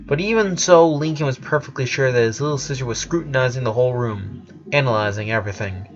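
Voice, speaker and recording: A man's voice: medium pitch, highs cut off. A man reading a story aloud as narration, sometimes stumbling over his words and correcting himself.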